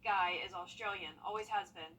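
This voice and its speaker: Bright-voiced female TV weather presenter. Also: medium pitch, steady tone, brisk, recorded from a distance, a little hiss or hum. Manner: upbeat, conversational. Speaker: meteorologist. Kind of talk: weather forecast and desk banter.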